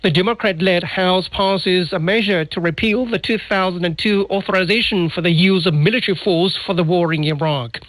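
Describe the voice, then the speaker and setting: A man's voice: high-pitched, normal speed, few pauses, a bit bright, dry recording, poor audio. A man reading scripted news as a radio anchor, heard over a shortwave broadcast.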